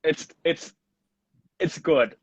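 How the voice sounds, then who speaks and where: A man's voice: a little high, extremely slow, slightly rough, dry recording, highs cut off. A man in his twenties from Thailand, a native Thai speaker, chatting as a guest on a casual live-stream interview, full of restarts and "you know". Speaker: guest, actor.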